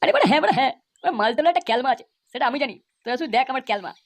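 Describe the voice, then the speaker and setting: A man's voice: very high voice, expressive, very fast. A man voicing a cocky, lecherous young man in a crude comedy cartoon, slangy and full of swearing. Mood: boastful.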